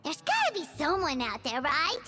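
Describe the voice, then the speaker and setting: A squeaky, childlike fairy companion chatters in a game scene, her voice leaping up and down. She refers to herself in the third person and is always eager. Soft game music plays underneath. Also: wildly swooping pitch, moderate pace, heavily acted. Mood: chatty. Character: tiny excitable fairy companion, greedy for food. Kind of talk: video game dialogue. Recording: game audio with faint music.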